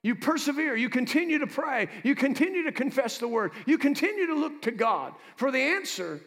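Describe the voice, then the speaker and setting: A man preaching as pastor, moving between loud exhortation and quiet asides, joking with his congregation. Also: extremely high pitch, very animated, brisk. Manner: jokes with the congregation. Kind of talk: preaching a sermon.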